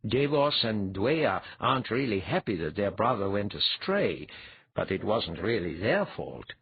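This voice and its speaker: A man's voice: on the low side, sing-song, a little slow, phone-quality sound. A man voicing a wry, commanding mentor with a dry, instructive manner in an audiobook story. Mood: dry, calm.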